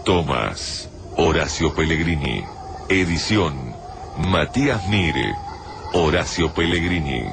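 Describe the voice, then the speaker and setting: A man announcing a radio drama as a scripted promo, measured and dramatic. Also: very deep voice, animated, creaky, background noise. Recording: music bed behind announcer.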